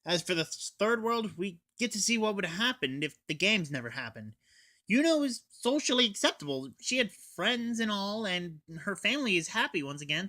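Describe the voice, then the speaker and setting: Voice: medium pitch, bright, forceful tone. A teenage reviewer recapping a story in a casual, scripted style. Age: teen. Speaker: anime reviewer.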